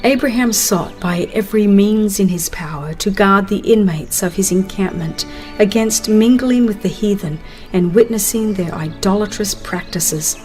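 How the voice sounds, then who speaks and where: A woman reading a religious book aloud as dramatized scripted narration, solemn, earnest and measured. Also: mid-range voice, dark tone. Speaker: audiobook narrator.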